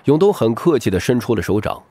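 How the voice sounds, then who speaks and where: A man's voice: medium pitch, sing-song, quick pace, dark tone, slightly rough, no room sound. A man narrating a novel as scripted audiobook storytelling, with a dramatic delivery.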